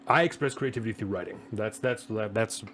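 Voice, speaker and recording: A man's voice: on the low side, fairly quick, fairly bright tone, a little hiss or hum. A man hosting a podcast, chatting and asking questions in an informal, sweary way. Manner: informal, profane. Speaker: podcast host. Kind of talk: casual chat and interviewing.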